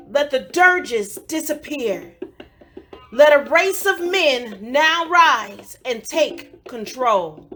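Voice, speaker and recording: A woman reciting poetry in a solemn, dramatic style, with deliberate, measured phrasing and pauses between short phrases. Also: high voice, fairly bright tone. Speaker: poetry reader. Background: African drum music.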